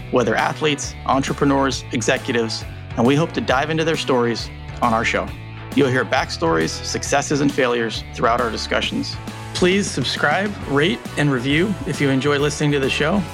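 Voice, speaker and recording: Upbeat man hosting a podcast. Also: on the high side. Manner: upbeat, with fillers and restarts. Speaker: podcast host. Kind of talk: casual interview chat.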